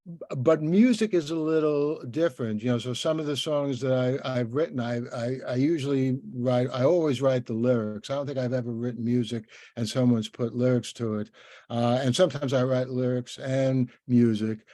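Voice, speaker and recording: A man's voice: medium pitch, moderate pace, warm tone, no room sound. An older man hosting a conversational interview, talking in a rambling, anecdotal way.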